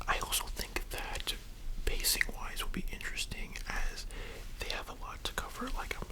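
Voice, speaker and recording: An ASMR host whispers almost throughout in a breathy, unvoiced tone. The delivery is casual and rambling, addressed straight to the listener, and the pitch jumps around widely whenever the voice surfaces from the whisper. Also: poor audio. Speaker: ASMR content creator and host. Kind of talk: whispered ASMR roleplay chat about anime.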